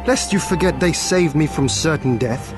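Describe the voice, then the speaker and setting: An actor playing a wry, resolute young samurai lord in a fantasy game, with formal, archaic, courtly speech. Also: male voice, on the high side, moderate pace, over music, natural voice. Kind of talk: fantasy game cutscene acting.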